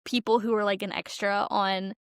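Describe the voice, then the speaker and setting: A woman's voice: medium pitch, level tone, normal speed, smooth, dry recording, studio quality. A young adult woman co-hosting a podcast, chatting informally and emphatically about films. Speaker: podcast co-host. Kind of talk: casual chat about films.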